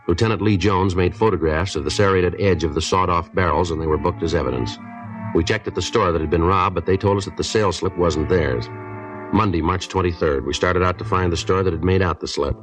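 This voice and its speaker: An American actor playing a terse, matter-of-fact police detective, narrating his case in a deadpan, clipped, businesslike way in an old radio police drama. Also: deep voice, recorded from a distance, close to an everyday voice, rough recording.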